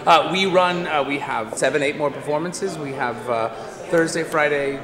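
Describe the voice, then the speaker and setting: A man from a theater company talking excitedly about the show's upcoming performances. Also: on the high side, normal speed. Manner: excited, promotional. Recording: roomy echo with crowd.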